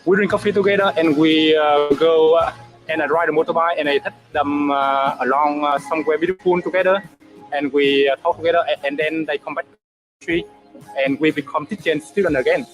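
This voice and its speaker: A man talking in a halting interview manner, full of fillers, about meeting his students. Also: on the high side, steady tone, soft background music, rough recording. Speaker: language teacher.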